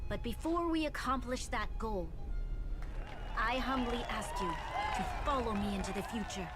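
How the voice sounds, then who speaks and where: A woman playing a solemn, dignified young noblewoman leader in a game, speaking in a measured, formal, earnest way. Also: a little high, loud music behind the voice, close to an everyday voice. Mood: solemn, resolute. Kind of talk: scripted game voice acting, formal oratory.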